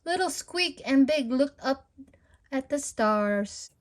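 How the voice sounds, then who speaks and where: High-voiced, unhurried woman reading to kids. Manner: sing-song, animated talk addressed to young children. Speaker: storytime read-aloud host. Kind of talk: children's picture-book read-aloud. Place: at home.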